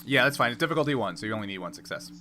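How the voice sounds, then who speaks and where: A man's voice: mid-range voice, expressive, racing, sharp tone, a little hiss or hum. A man running a tabletop role-playing game, narrating and explaining rules off the cuff. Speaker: game master.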